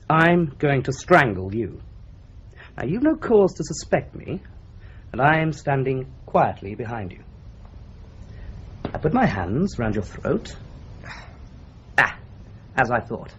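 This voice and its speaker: A relishing, teasing police doctor whose voice swoops up and down as he lays out a murder method. His voice is of middle pitch and sounds slightly muffled, as on an old radio drama recording. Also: slow pace, pauses often, a little hiss or hum. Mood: explaining. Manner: lively, teasing, demonstrative.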